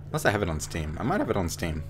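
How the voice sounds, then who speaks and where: A man's voice: low-pitched, big pitch swings, very fast, noisy background. A man giving joking, sarcastic gameplay commentary as host on a headset. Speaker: let's play host. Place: small room indoors. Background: game music.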